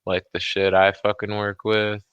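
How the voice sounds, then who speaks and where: Casual male talk guest, low-pitched with forceful, bright edge, blunt and sweary, close dry sound. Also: completely flat delivery, moderate pace, clear, resonant tone, dry recording, dull audio. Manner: blunt, heavily profane. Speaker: guest on a livestream talk show. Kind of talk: casual chat.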